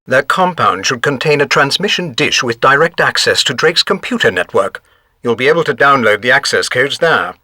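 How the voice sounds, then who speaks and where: A man's voice: mid-range voice, big pitch swings, moderate pace, bright, forceful tone, full character voice. A man playing a formal intelligence officer giving precise mission briefings in a video game. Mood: businesslike.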